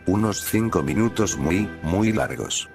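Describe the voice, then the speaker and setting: A man's voice: deep voice, expressive, a little slow. A man narrating a scripted first-person horror story as a storyteller. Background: prominent music bed.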